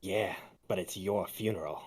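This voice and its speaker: Woman putting on a husky, gruff male action hero. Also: normal speed, a little mellow. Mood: deadpan. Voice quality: husky, low.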